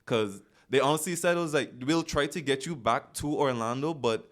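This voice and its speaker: A man's voice: mid-range voice, normal speed. A young man from the Caribbean with a West Indian accent, telling stories as co-host of a casual podcast chat. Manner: relaxed storytelling with slang.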